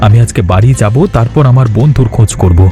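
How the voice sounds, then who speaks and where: A man's voice: mid-range voice, quick pace, music in the background, lightly acted. A man voicing an honest, exasperated young husband in a cartoon, pleading and reproachful. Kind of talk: cartoon voice acting.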